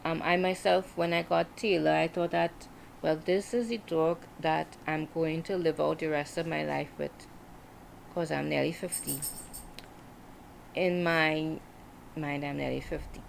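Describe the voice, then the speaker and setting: A woman in her forties talking casually on a livestream, reflective and hesitant, with many pauses and fillers. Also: low-pitched, steady tone, vocal fry, a little hiss or hum, rough recording. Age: 40s. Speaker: writer hosting a livestream.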